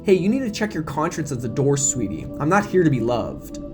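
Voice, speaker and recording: A man's voice: on the high side, big pitch swings, brisk. A man voicing a pushy, brazen female TV reporter in an audio drama. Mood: defiant. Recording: music bed behind the voice.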